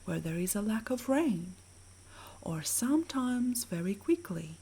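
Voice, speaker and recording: A woman narrates a sleep meditation in a soft, breathy voice with a mellow, dark tone. She speaks slowly and soothingly, with lively rises and falls in pitch as she gently guides the listener. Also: slight background noise. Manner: soothing, measured, gently instructing.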